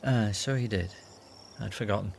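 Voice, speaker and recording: An actor with a Northern Irish accent playing Judas, a teasing, sardonic disciple who cares most about money, in a radio drama. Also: male voice, on the low side, moderate pace, creaky, a little hiss or hum. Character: Judas, scheming money-minded male disciple.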